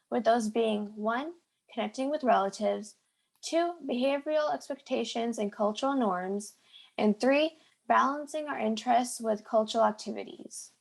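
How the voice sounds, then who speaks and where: Teenage girl with a creaky voice. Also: fairly high pitch. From United States. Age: teens. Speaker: youth podcast co-host. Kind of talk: read-aloud podcast script.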